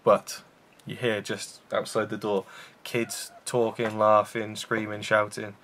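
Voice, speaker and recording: A man's voice: lowish voice, monotone, slow pace, a bit bright. A man hosting a casual film review show, rambling with enthusiasm and many fillers and restarts.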